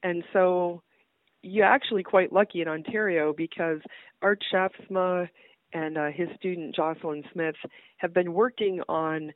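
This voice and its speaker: A middle-aged woman with a cold, a university entomologist speaking as a guest, explaining field research in a brisk, practical way with many "uh"s. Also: on the low side, steady tone, no room sound. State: has a cold. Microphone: phone call. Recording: dry, tinny phone line.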